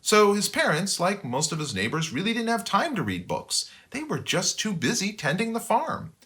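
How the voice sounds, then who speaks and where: A man reading his own book aloud for children in an expressive way, with conversational asides. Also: sits high, normal speed. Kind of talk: animated storybook read-aloud for children.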